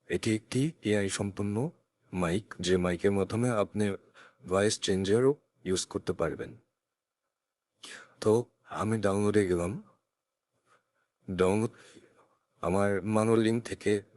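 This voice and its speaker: A man narrating a step-by-step software tutorial in a careful, instructional way, recorded on a close USB condenser mic. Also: low voice, no room sound. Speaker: tech tutorial presenter. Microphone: close USB condenser microphone.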